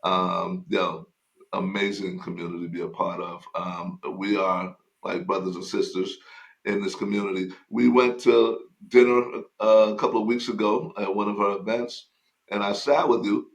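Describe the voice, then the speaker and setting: A man with a fairly low voice co-hosts a podcast. He hypes up his guest and then talks with her in a loose, chatty manner. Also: fairly even intonation, moderate pace, creaky, low-quality recording. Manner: enthusiastic, cheers and hypes the guest. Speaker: podcast co-host. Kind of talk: casual interview.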